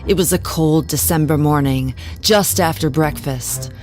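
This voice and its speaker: An actress playing a haunted, genteel wife who tells her story in a measured, dramatic way in an audio drama. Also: deep voice, a little mellow, faint music behind. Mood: haunted, grave. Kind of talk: scripted audio drama narration.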